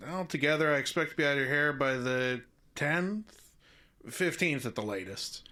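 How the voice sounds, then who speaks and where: A man's voice: mid-range voice, fairly bright tone, lightly acted. A man acting in an audio drama as a folksy, plain-spoken small-town contractor. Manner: folksy, easygoing, colloquial.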